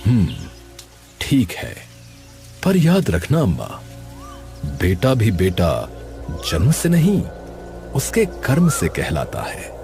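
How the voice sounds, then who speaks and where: A man playing a kindly wandering holy man in an animated story, measured, solemn and sage-like. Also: fairly low pitch, exaggerated voice. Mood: calm, knowing. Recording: music under the voice.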